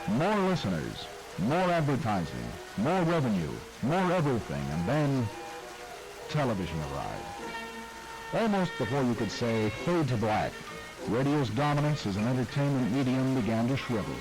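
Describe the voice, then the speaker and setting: A man's voice: medium pitch, very animated, normal speed, over music, low-quality recording. Wry male documentary narrator.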